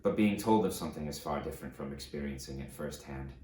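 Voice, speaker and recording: A man's voice: rumbling low, mellow, roomy sound, a little hiss or hum, voice pushed down. A man playing an aged fallen tyrant, remorseful and grandiose, reading his confession in a solemn, dramatic audiobook style. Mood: grim recollection. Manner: solemn, dramatic, deliberate storytelling delivery.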